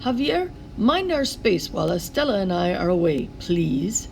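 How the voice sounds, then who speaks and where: A woman's voice: mid-range voice, big pitch swings, a little slow, vocal fry, a little mellow, slight background noise. A woman voicing a kindly, shrewd father in a storybook, encouraging and instructive as he teaches his daughter. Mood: easygoing.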